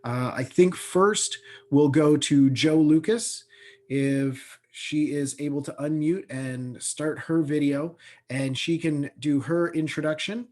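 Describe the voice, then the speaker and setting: A man moderating an online panel over a video call, talking haltingly with lots of fillers. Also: fairly high pitch, a little mellow. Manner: halting, with many fillers and restarts.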